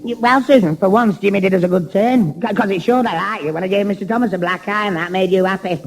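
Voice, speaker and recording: An actor playing a jealous, awkward young boyfriend who stammers and hesitates. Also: male voice, high-pitched, fast, creaky, soft background music. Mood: sheepish. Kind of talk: 1960s radio comedy acting.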